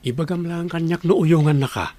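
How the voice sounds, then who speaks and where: A man playing a calm, kindly father and boss in his thirties in a radio drama. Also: on the high side, fairly quick, close to an everyday voice. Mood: gentle, fatherly.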